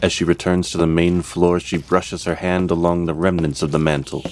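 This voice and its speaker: A man narrating a scripted fantasy audio drama as its storyteller, in a measured, theatrical way. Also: low-pitched, little pitch movement, a little mellow, a little hiss or hum. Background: music.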